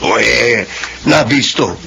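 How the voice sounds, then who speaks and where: A man's voice: medium pitch, lively intonation, takes it slowly, gravelly, sharp tone, slight background noise, exaggerated voice. A man playing a gossipy, suspicious office worker in a Filipino radio drama.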